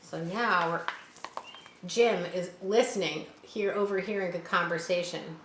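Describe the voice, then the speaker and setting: A woman reading a children's story aloud expressively, pausing to explain words for young listeners. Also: lowish voice, steady tone. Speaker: audiobook reader. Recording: roomy echo, faint background noise.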